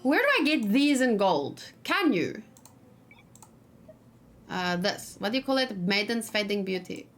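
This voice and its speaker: A woman hosts a casual gaming stream in a medium-pitched voice. She thinks aloud and mutters to herself as she goes. There is light background noise, with keyboard typing and clicks. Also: very animated, unhurried, pauses often. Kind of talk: casual live let's play chat, thinking aloud. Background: background noise, computer keyboard and mouse clicks.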